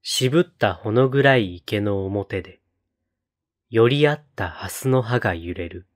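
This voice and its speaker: A man reciting poetry in a solemn style, with measured, deliberate phrasing and pauses between lines. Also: on the low side. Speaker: poetry reader on a read-aloud channel.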